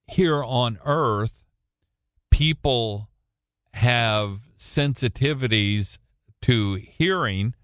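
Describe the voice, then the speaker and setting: A middle-aged American man with a booming voice, teaching from the Bible as a preacher in a halting, deliberate way with long pauses. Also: a bit bright, dry recording, clean studio sound. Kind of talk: Bible reading and informal teaching with personal anecdotes. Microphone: close studio-style microphone at a computer.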